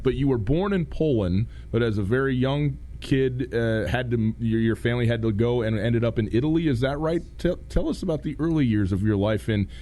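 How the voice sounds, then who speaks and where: A man's voice: mid-range voice, fairly quick, hardly any pauses, warm tone. A middle-aged man hosting a sports radio interview, asking curious, conversational questions.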